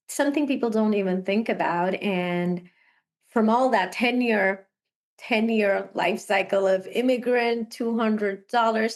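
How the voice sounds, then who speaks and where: A young woman from Sri Lanka, a guest talking over a video call, telling her story earnestly and laughing often. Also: medium pitch. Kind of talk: casual interview conversation.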